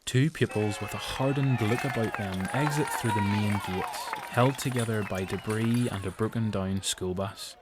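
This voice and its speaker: An actor narrating a post-apocalyptic audio drama as a grave, measured storyteller with a Northern Irish accent. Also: male voice, on the low side, a loud crowd, very noisy, natural voice. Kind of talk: scripted narration.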